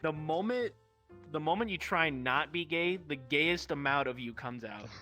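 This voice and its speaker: A man's voice: a little high, animated, normal speed, sharp tone, faint music behind. A young man hosting a casual group chat, joking with friends in a crude, profane way. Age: young adult. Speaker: host of a group chat show.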